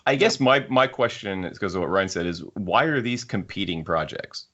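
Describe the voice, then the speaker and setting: A low-pitched male co-host works through his points in a casual group discussion. He is analytical and discursive, explaining at length. The audio is dull and a little muffled. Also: lively intonation, moderate pace, fairly bright tone. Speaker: podcast co-host.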